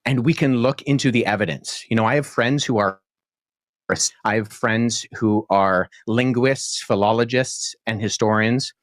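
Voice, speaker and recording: A young man speaking as a debate guest, earnest and articulate, laying out his reasoning at a measured pace with frequent fillers. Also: mid-range voice, dry recording, studio quality. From born in Pakistan, childhood in Jordan. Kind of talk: podcast debate answer.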